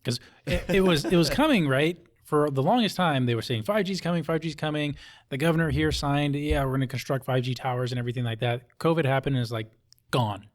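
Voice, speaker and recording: A man's voice: on the high side, a little fast. A middle-aged man talking casually as a podcast host, informal and plain-spoken. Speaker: podcast host, police officer.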